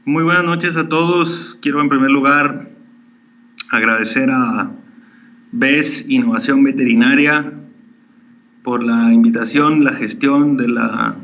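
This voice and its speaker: A Mexican man in his forties with a slightly mixed Mexican and Chilean accent, a veterinarian opening an online lecture in a friendly, halting way with many fillers. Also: medium pitch, a bit bright, muffled recording. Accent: Mexican with some Chilean. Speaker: veterinarian giving a webinar talk.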